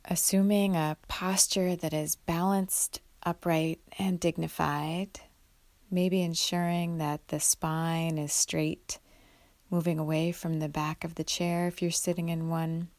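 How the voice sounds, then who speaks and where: A woman guiding a mindfulness meditation in a gentle, unhurried way, leaving long pauses between instructions. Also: fairly low pitch, flat delivery, no room sound. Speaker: guided meditation leader.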